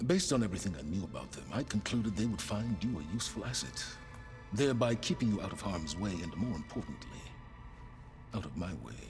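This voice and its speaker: A cold, scheming man in a video game, low in pitch. He speaks formally and with measured condescension, like someone always calculating. Music plays clearly behind him. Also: big pitch swings, darkish tone. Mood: cold, matter-of-fact. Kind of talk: video game dialogue acting.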